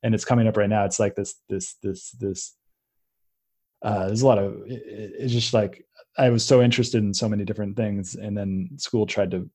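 A man's voice: lowish voice, steady tone, moderate pace, mellow. A young man hosting a podcast, talking casually and rambling, with many restarts and tangents. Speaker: podcast host. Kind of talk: casual conversational interview.

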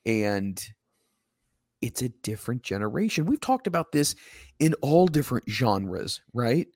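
Hoarse, emphatic podcast co-host man. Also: mid-range voice, a little slow, no echo, studio quality. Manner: emphatic, ranting, animated when quoting others. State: slightly hoarse. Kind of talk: casual podcast chat.

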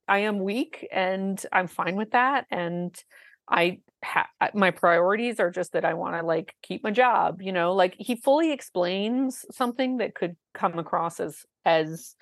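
A woman with a low voice, co-hosting a podcast, talking in an enthusiastic, chatty way with lots of fillers. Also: unhurried, no room sound, clean studio sound. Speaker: podcast co-host. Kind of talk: casual chat about a musical.

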